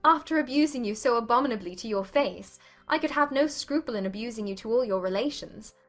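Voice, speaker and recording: Period romance audiobook: female actor as a frank, well-mannered young gentlewoman, lightly high-pitched, formal and heartfelt. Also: expressive, a little fast, soft background music, natural voice. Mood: frank, conciliatory. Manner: polite, sincere, formal period phrasing. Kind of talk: dramatized audiobook acting of a classic romance.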